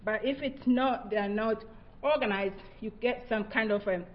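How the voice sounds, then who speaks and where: A woman's voice: a little high, moderate pace, lo-fi sound. A middle-aged woman from Tanzania, whose first languages are Haya and Swahili, giving an earnest, reflective research talk with frequent restarts. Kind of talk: presentation talk.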